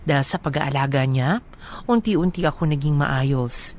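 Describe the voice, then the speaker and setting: Filipino female letter narrator for radio drama: low, measured, wistful actress as a married woman, soft music bed. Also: close to an everyday voice. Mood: wistful, heartfelt. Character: married woman recounting her own sad love story. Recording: faint music behind the voice.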